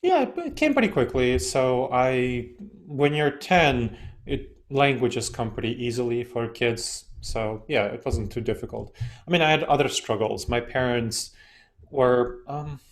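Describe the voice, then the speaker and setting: Guest: easygoing man, non-native English, mid-pitched, chatty storyteller. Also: measured pace. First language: not English. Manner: relaxed, laughs often while telling stories. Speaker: interview guest, decision-making expert. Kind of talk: casual interview storytelling.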